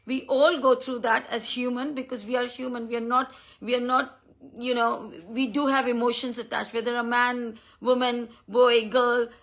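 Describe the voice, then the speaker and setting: A woman in her fifties from India, with Hindi as her first language, giving a heartfelt talk on a live stream. Her voice is fairly high with a bright, gravelly edge, and she pauses often on um and uh. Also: little pitch movement. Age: 50s. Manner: earnest and thankful, with frequent ums and uhs. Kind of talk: personal talk on a social media live stream. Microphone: phone or computer mic on a live stream.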